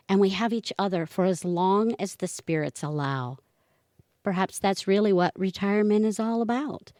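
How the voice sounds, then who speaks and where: A woman's voice: fairly low pitch, dry recording, studio quality. A woman playing a wry, flirty retired wife who likes to scheme and muse, in an amateur audio comedy.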